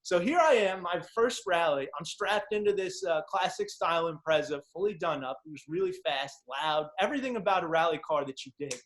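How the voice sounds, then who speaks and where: A man whose first language is Czech hosts a casual chat and speaks in a fairly high voice. He tells stories with enthusiastic, slangy energy and keeps the talk moving. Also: lively intonation, fairly quick, few pauses, fairly bright tone. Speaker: podcast host.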